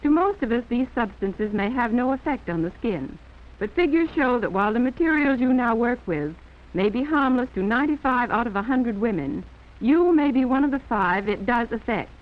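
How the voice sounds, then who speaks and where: A thin, band-limited 1940s film soundtrack carries a fairly high-pitched American woman's voice. She narrates a training film from a script, formal and instructive throughout. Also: expressive, normal speed, phone-quality sound.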